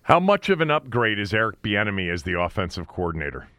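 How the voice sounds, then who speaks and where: A man's voice: on the low side, sing-song, fast talker, bright, forceful tone, dry recording, clean studio sound. A man hosting a sports show, asking interview questions in a conversational way.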